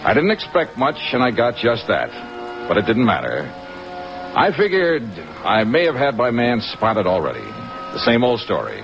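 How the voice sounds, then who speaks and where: An actor playing a wry, hard-boiled American insurance investigator with dry, clipped delivery in an old radio detective drama. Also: male voice, fairly low pitch. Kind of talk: 1950s radio detective acting and narration. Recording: dull vintage radio recording.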